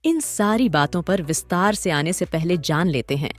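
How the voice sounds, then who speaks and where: A woman's voice: on the low side, lively intonation, normal speed. A woman hosting and narrating a scripted documentary story in a dramatic, literary storytelling manner. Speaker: host and narrator. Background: background music.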